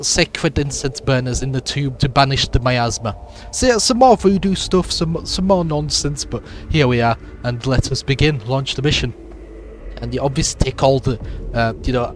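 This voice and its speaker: Hoarse man rambling through gameplay. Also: mid-range voice, slight background noise. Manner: rambling, with many fillers and restarts. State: sick, raspy voice. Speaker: gameplay commentator. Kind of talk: casual let's-play commentary.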